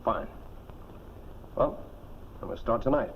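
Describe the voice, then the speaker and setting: An actor playing a steady, decisive airplane skipper in a 1940s military film, speaking in a firm, measured way. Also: male voice, medium pitch, gravelly. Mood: firm, resolved. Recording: dull, noisy 1940s film soundtrack.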